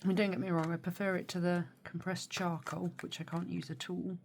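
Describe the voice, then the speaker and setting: A woman with a hoarse, snuffly cold, chatting casually and quietly as she unboxes art supplies, with many hesitations. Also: fairly low pitch, brisk. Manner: soft-spoken, often drops to a near whisper; mildly critical, hesitant with many ums. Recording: steady background noise.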